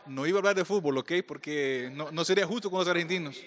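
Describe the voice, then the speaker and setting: Middle-aged Brazilian-accented preacher, raised voice, playful, echoing hall. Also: male voice, fairly high pitch, quick pace, fairly bright tone. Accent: Brazilian Portuguese. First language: Portuguese. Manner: joking, playful with the audience. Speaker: pastor speaker. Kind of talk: conference talk. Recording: long hall reverb.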